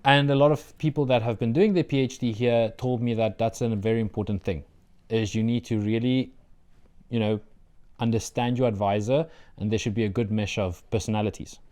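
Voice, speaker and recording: A young man from South Africa with a South African accent, Afrikaans his first language, answering interview questions about his doctoral studies in an earnest, measured way with frequent fillers. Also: mid-range voice, fairly even intonation, a little mellow. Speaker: PhD candidate, interviewee.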